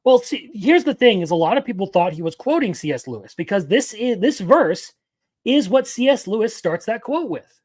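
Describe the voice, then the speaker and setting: A man's voice: high voice, very animated, moderate pace, darkish tone. A man in his twenties giving casual live-stream commentary as an anime news host, opinionated and rambling, answering his chat as he goes. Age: 20s.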